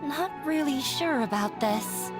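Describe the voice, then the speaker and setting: A woman's voice: high voice, animated, unhurried. An actress voicing a shy, anxious, self-doubting young woman in a video game, with a timid and hesitant delivery. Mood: timid, doubtful. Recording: music behind the voice.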